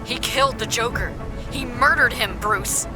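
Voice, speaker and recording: A woman's voice: fairly high pitch, normal speed, over loud music, natural voice. A woman playing a fierce, confrontational young crimefighting heroine in a comic dub. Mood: angry, accusing. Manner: insistent, confrontational.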